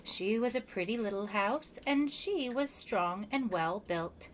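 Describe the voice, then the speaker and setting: A woman reading a children's picture book aloud with measured, expressive phrasing for young children, as a preschool storyteller. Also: medium pitch, slight background noise, rough recording.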